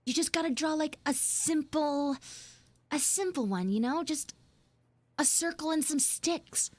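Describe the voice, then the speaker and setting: A woman voicing a flustered young tsundere schoolgirl in a live audio roleplay, halting, awkward and defensive. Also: high voice, a little mellow, no echo, voice pushed up. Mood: awkward, boastful. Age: young, school student. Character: flustered tsundere frog girl, failing art student.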